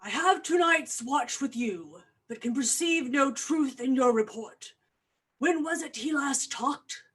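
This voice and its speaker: A woman playing a troubled, watchful doctor in a grave, dramatic Shakespearean reading, husky and at times hushed. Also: sits high, animated, a little slow, full character voice. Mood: probing. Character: troubled, watchful doctor observing a sleepwalking patient. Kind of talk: Shakespearean acting in an online staged reading.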